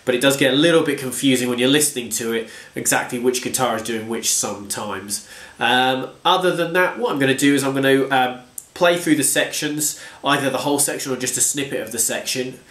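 A man's voice: medium pitch, brisk, fairly bright tone. A man teaching guitar at home in a chatty, self-deprecating way, congested from a cold and counting strums aloud. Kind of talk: casual guitar lesson. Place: home room with bookshelves. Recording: echoey room with guitar playing.

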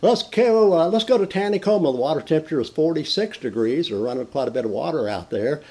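An older man hosting a folksy fishing report from a den, talking with lively enthusiasm and frequent fillers and restarts. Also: a little high, fast talker. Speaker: fishing show host. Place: indoor den with mounted fish on the wall.

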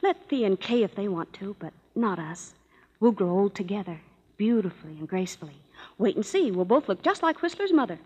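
A woman's voice: mid-range voice, animated, a little fast, a little mellow, slightly rough. An actress playing a teasing, chatty young woman in a 1930s radio drama. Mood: playful. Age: young adult. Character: playful, chatty youngest-type sister. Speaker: sister Anne.

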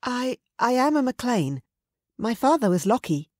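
A narrator voicing a gentle, timid young woman with a soft-hearted, hesitant way of talking in an audiobook. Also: mid-range voice, sing-song, a little slow, no room sound, clean studio sound, voice pushed up. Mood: frightened, pleading.

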